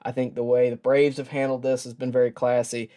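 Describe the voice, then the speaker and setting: A man hosting a sports show, giving a personal tribute in a reflective, earnest and halting way with pauses. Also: medium pitch, flat delivery, warm tone. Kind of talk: personal tribute talk to camera.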